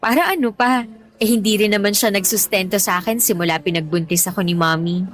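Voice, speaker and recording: A woman's voice: medium pitch, fast talker, close to an everyday voice. A woman with a Filipino accent playing a friendly, forthright young woman on a first date in a radio drama, casual and playful. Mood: matter-of-fact, open.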